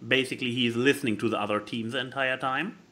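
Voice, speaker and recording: A man who is not a native English speaker, chatting casually as a podcast co-host and haltingly searching for words as he explains things. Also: medium pitch, steady tone, pushed and bright. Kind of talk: casual chat explaining a board game.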